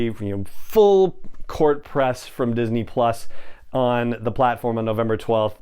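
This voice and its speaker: Clear-voiced man hosting a chatty podcast. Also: medium pitch, a little mellow. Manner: conversational, chatty asides. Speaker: podcast host. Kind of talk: solo fan news commentary.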